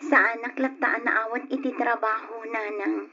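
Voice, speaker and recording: An actress playing a young, jobless college graduate and respectful daughter in an old radio drama, speaking softly. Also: female voice, a little high, steady tone, normal speed, slightly rough, full character voice. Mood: meek. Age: young adult. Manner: soft, respectful. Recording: dull old radio recording with faint hiss.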